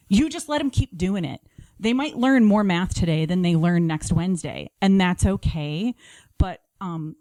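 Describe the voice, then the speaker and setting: A woman's voice: fairly low pitch, a little mellow, dry recording. A middle-aged woman giving practical, conversational advice in an instructive, emphatic, plain-spoken way. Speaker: podcast guest giving home-schooling tips.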